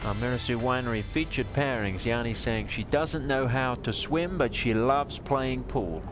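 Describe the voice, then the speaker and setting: A man calling a women's golf broadcast as play-by-play announcer, with a smooth, measured delivery. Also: mid-range voice, over music. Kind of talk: TV golf commentary.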